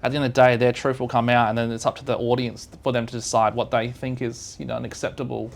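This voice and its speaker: A man's voice: medium pitch, flat delivery, quick pace, creaky. An Australian man from South Australia hosting a casual podcast interview. He rambles, using lots of fillers and restarting his sentences. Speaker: podcast host.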